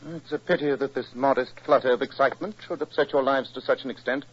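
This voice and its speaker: An actor playing a cool, courteous English detective with a British accent, speaking precisely and with understatement in a 1940s radio drama. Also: male voice, medium pitch, fast, slightly rough, natural voice. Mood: calm, dryly amused. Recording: muffled, band-limited old radio recording.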